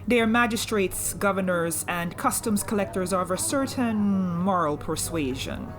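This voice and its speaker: A commanding woman smuggler, acted by a woman, speaks in an audio drama. Her pitch moves widely as she lays out hard terms. She is wry, shrewd and deliberate, and bends her grammar. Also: lively intonation, crowd noise, natural voice. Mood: wry, measured.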